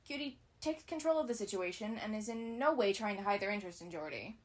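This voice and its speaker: A woman's voice: medium pitch, animated, quick pace. A woman giving scripted analysis commentary as a video essayist, with casual asides.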